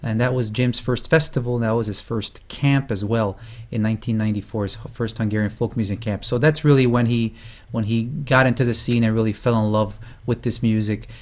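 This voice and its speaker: A man hosting a folk music program, introducing a guest in a loose, halting way with many fillers and false starts. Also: mid-range voice, fairly even intonation, darkish tone. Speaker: host. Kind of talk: casual spoken introduction.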